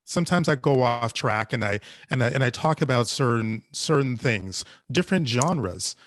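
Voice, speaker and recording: Halting man on a panel, dry sound. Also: mid-range voice, expressive, a bit bright, no echo. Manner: halting, repeats phrases. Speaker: panelist, anthology podcast creator. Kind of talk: casual panel discussion.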